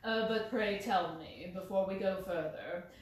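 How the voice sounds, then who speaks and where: Lady reading a cool sly detective guy, low and bland, bit of hiss. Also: unhurried, natural voice. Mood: calm. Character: calm, bland, clever male detective. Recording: roomy, distant mic, faint hiss.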